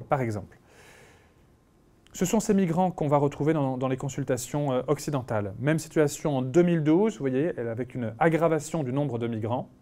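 A man, a physician, giving a conference lecture over slides, speaking in a measured way with frequent pauses and restarts. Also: mid-range voice, a little mellow. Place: conference room.

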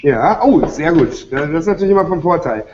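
A man's voice: a little high, expressive, brisk, darkish tone, dull audio, a little hiss or hum. A man hosting a cooking show in a studio kitchen, joking and interviewing guests while cooking.

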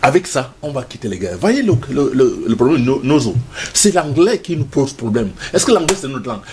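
A man's voice: mid-range voice, very animated, a little fast, background noise. An older man speaking as a studio guest in a passionate debate, emphatic and stammering on repeated words.

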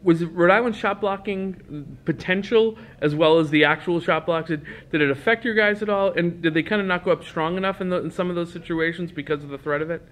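A man, a reporter, asking a coach questions in a halting way with many restarts. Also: high-pitched, fairly bright tone. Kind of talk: press conference questions.

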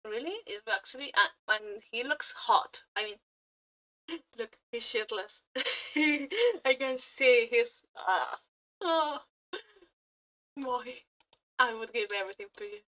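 High, bright-voiced excitable woman streamer. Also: takes it slowly. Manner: excitable, giggly, swearing fangirl. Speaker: streamer reacting to game character demo videos. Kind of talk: casual live reaction chat. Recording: music behind the voice.

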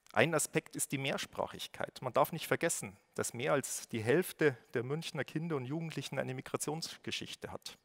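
A man in his forties from Lower Bavaria with a Bavarian accent, giving a measured formal welcome speech at a lectern, with the odd filler. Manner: measured, read-out delivery with occasional fillers. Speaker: city education official giving a welcome speech. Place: stage at a lectern, indoors. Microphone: lectern microphone.

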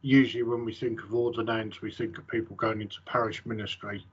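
A middle-aged man with a husky, breathy voice leads an interview, building each question slowly and carefully. He speaks over a webcam video call. The sound is dull and lo-fi. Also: on the low side, flat delivery. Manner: measured, setting up questions step by step. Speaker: chaplain interviewer.

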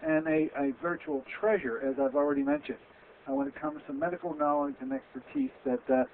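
Older male radio doctor-host, Western PA accent, loud and chatty, headset mic, thin phone-like audio. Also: fairly high pitch, animated, brisk, slight background noise. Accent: Western Pennsylvania. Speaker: radio show host, physician. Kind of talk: casual radio talk. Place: small office with framed certificates.

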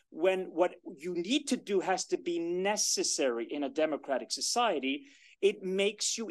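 Emphatic man giving a keynote speech. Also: fairly high pitch. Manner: emphatic, deliberate, gesturing at a lectern. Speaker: keynote speaker. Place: conference room, medium size, indoors. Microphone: standing at a lectern, filmed by a far camera.

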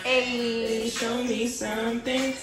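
A woman's voice: a little high, level tone, slow, echoey room, over loud music, rough recording. A woman, the vlogger's friend, talking in a playful, giggly, teasing way.

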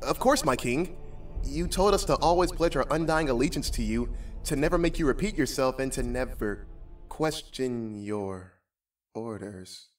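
A man's voice: mid-range voice, lively intonation, moderate pace, recorded from a distance, soft background music, lightly acted. A man playing a king's military lieutenant, formal and deferential, in a theatrical audio drama. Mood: nervous, deferential.

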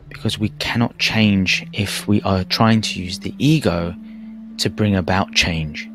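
A man with a fairly low voice leads a guided meditation. His delivery is calm and soothing, with measured phrases and pauses between them. Soft music plays faintly behind him. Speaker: meditation teacher. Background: background music.

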